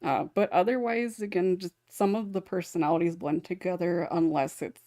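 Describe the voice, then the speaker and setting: A woman's voice: on the low side, steady tone, moderate pace, dark tone, dry recording, clean studio sound. A woman hosting a casual panel discussion, talking in a chatty, rambling way with frequent small chuckles. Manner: rambling, chatty, often chuckling as she talks. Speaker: podcast host and script writer.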